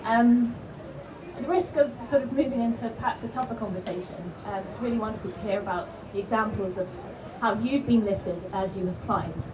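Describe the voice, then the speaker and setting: A woman in the audience asks the panel a polite question, pausing on small "um" fillers. Her voice is mid-pitched and comes from a distance. Event noise sits behind her. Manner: polite, warm, hesitant fillers. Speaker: audience member asking a question.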